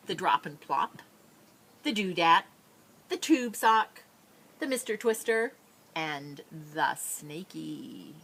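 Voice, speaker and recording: A woman reading a children's picture book aloud expressively, with drawn-out dramatic phrasing and playful asides. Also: fairly low pitch, expressive, vocal fry, fairly bright tone, a little hiss or hum. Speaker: storybook reader.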